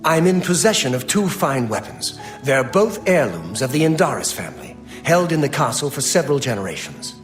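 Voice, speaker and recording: A man's voice: medium pitch, big pitch swings, brisk, few pauses, close to an everyday voice. An actor playing a gracious middle-aged count and doting father in a fantasy game, speaking formally and eloquently. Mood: gracious, relieved. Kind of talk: fantasy video game acting. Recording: roomy echo, faint music.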